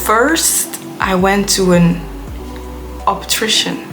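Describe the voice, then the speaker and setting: A woman's voice: medium pitch, drawn-out words. Rambling young woman vlogging. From Belgium. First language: Dutch. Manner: chatty, rambling, heavy use of "like". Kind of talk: casual rambling vlog rant. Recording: music playing under the voice.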